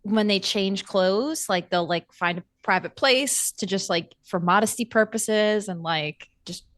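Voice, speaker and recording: A woman voicing a flustered, well-meaning young female vampire in a tabletop game, explaining haltingly and apologetically. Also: medium pitch, fairly bright tone, no echo, clean studio sound, close to an everyday voice. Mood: awkward, patient.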